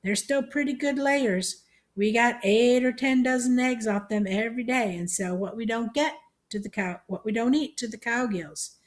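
Elderly aunt, voiced by a woman reader, storybook, high voice, chirpy, folksy, chatty, sly. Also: normal speed, lightly acted.

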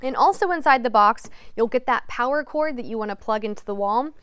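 A young woman with a very smooth, clean voice pitched a little high walks through a device demonstration. She explains each step plainly, in the instructional way of a TV weather presenter. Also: fairly quick, a bit bright, no room sound. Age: young adult. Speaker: TV meteorologist. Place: TV weather studio.

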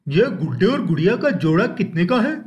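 Man playing a high-voiced cartoon village man. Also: big pitch swings, moderate pace, a little mellow, dull audio. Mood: haggling. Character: village father and haggling male customer. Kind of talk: cartoon story dialogue.

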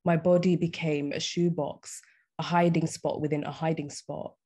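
A young woman poet reading her poems aloud in a measured, deliberate way. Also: sits low, little pitch movement, mellow, slightly rough. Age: young adult. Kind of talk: poetry reading. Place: small indoor room, on a video call.

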